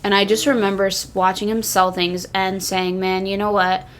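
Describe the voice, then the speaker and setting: A woman talking casually as a podcast host, earnest, with halting phrasing that often repeats itself. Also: medium pitch, level tone, a little hiss or hum. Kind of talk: casual wrestling discussion.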